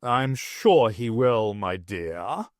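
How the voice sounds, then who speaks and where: A man's voice: on the low side, sing-song, darkish tone, no echo, studio quality, pitched below the performer's own voice, heavily acted. A man voicing a moody king who growls and mocks cruelly, then turns to self-pity. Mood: mocking. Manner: growling, mocking, slow and drawn out.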